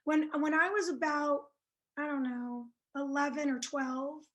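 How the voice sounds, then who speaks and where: A woman's voice: high voice, fairly even intonation, unhurried. A woman whose first languages are French and Italian, chatting shyly as a psychic guest on an interview show, with many fillers and hesitations. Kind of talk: casual interview chat.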